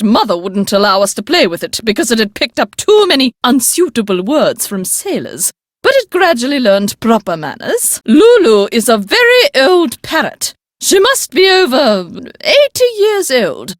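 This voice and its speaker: A woman's voice: high-pitched, sing-song, normal speed, dry recording, studio quality. A woman playing an elderly, upper-class English great-aunt in a video game, proper, haughty and emphatic, stern but fond. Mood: genteel, helpful. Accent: English, upper-class British. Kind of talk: video game character dialogue.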